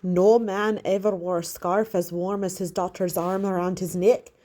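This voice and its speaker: A woman's voice: on the low side, flat delivery, normal speed, warm tone, natural voice. A woman voicing a wise old great-grandfather with a thick Irish brogue who speaks in folksy sayings. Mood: fond.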